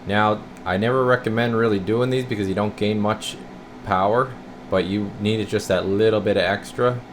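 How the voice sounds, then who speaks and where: Male repair tech, deep-pitched, informal and folksy, demonstrating on camera in a workshop with clear background noise. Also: normal speed. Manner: folksy, informal, addressing viewers as guys. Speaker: radio repair technician. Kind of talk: casual hands-on repair walkthrough to camera. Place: indoor radio repair workshop. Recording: clear steady room noise.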